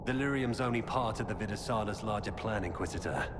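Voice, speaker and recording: An actor playing a weary, earnest former knight turned defector, giving grave, urgent warnings in a video game. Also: male voice, lowish voice, fast, lightly acted. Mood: grim, resolute. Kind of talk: dramatic video game dialogue. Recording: cavernous reverb with loud background noise.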